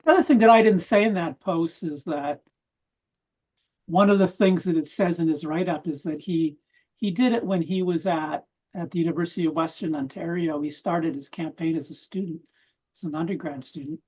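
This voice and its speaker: A man in his sixties, a guest on a chat show, talking in a measured way with chuckles. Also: on the high side, a little mellow, breathy edge, dull audio. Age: 60s. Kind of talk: casual chat.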